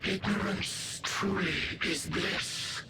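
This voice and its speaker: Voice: mid-range voice, steady tone, takes it slowly, heavy background noise, lightly acted. An actor playing a grim, condescending ancient alien being in a video game, making solemn, archaic pronouncements. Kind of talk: scripted video game acting. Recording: game audio under loud music.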